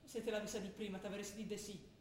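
An actress voicing a determined young daughter with a Trentino accent who speaks quickly and firmly. She is heard from a distance on a very echoey stage, with faint noise and a low rumble behind her. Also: fairly even intonation, lightly acted. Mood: quiet, firm. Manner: quick, firm and quietly defiant. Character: young woman, a determined daughter just graduated. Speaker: stage actor. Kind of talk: theatre drama broadcast on radio.

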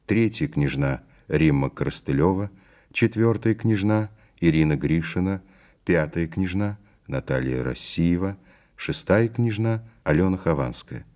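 Male announcer for formal scripted credits, extremely low voice. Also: animated, unhurried, darkish tone.

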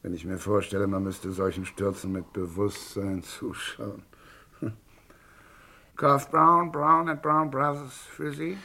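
An actor playing a cynical, gloating British financier in a vintage German crime radio drama, sardonic and theatrical. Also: male voice, fairly low pitch, fairly even intonation, slow pace, creaky, pushed and bright, slight background noise, heavily acted. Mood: wry musing. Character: cynical, gloating titled British financier scheming on the stock exchange.

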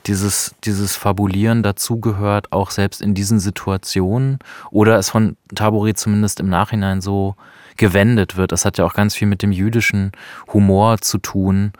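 Male co-host, deep voice, reflective literary talk, dry studio. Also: little pitch movement, moderate pace, darkish tone, no room sound. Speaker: radio co-host. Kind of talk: literary discussion. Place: radio studio.

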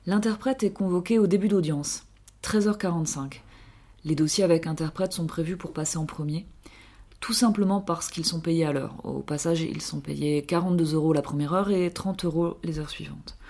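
Low-voiced woman reading radio commentary. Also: normal speed, dark tone. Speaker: radio columnist.

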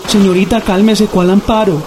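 A man's voice: sits high, little pitch movement, normal speed, background noise, natural voice. An actor playing a timid night doorman in a radio drama, repeating himself in a Colombian accent. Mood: incredulous.

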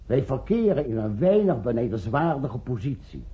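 A man acting an earnest, diplomatic professor in a Dutch radio play, speaking in formal, measured oratory. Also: mid-range voice, sing-song, close to an everyday voice. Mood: earnest, persuasive. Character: earnest, diplomatic professor pleading with scientific colleagues. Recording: dull, lo-fi old radio recording.

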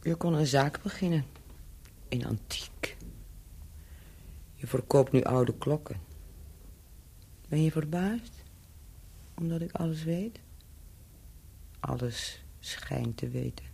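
A woman's voice: deep voice, slow, vocal fry, pauses often, warm tone, breathy edge, full character voice. A woman acting a worldly, mocking hotel owner in a radio play, teasing and sardonic in a theatrical way. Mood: knowing, cool.

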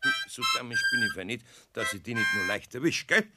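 An actor playing a kindly older Bavarian master carpenter in a children's radio play, patient but firm, coaxing and gently scolding. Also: male voice, mid-range voice, sing-song, fairly quick, over loud music, natural voice.

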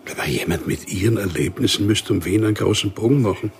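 An actor playing an unremarkable, quietly dangerous civil servant in a radio crime drama, speaking methodically with weighty pauses. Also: male voice, fairly low pitch, slight background noise, close to an everyday voice. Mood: dry, methodical. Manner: deliberate, enumerating, with weighty pauses.

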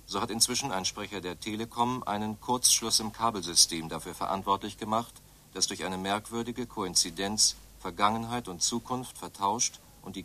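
An actor playing a radio news announcer, reading formally in a radio play. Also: male voice, on the low side. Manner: formal, even newsreading.

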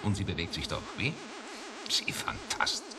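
A man's voice: fairly low pitch, wildly swooping pitch, unhurried, music in the background, full character voice. A man playing a flustered, irritable, anxious professor in a German radio drama, fretful and exclamatory. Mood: agitated.

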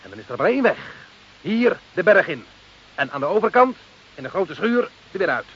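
A man's voice: sits high, sing-song, a little fast, slightly rough, exaggerated voice. An actor playing a defiant, scheming working man in a radio play, boastful, mocking and emphatic. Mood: defiant, excited. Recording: muffled, hissy old radio recording.

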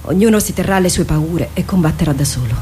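An actress playing a steadfast, dutiful adjutant in a radio drama, respectful but firm and measured. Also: female voice, on the low side, expressive, mellow, faint music behind, natural voice. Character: dutiful, steadfast female military adjutant addressing her captain. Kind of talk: theatrical radio drama acting.

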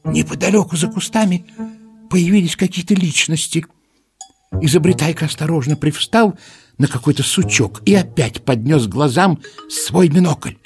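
A man narrating a children's audio play in an expressive storytelling style. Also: on the high side, moderate pace. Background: music.

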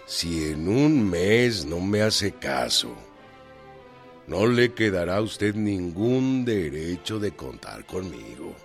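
An actor playing a proud, embittered Mexican revolutionary in a radio drama, blunt and defiant. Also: male voice, low-pitched, gravelly, exaggerated voice.

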